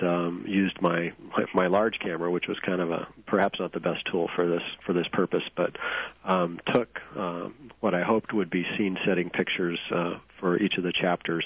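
A man with a cold and a hoarse voice, a guest author speaking hesitantly with many ums over a phone line. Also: low voice, steady tone, normal speed, dry recording. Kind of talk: phone-in interview.

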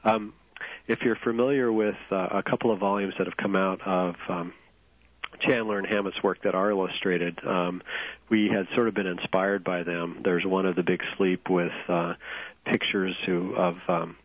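A man with a cold and a hoarse voice, a guest author speaking hesitantly with many ums over a phone line. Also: low voice, steady tone, moderate pace, dry recording. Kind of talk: phone-in interview.

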